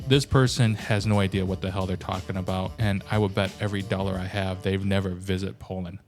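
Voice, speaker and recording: A young adult man with an American accent and a fairly low voice, reacting bluntly and sounding exasperated as he goes. He swears freely, and faint music plays behind him. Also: flat delivery, a little fast, a little mellow. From Korea, raised in the United States. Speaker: reaction video host. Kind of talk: casual reaction commentary.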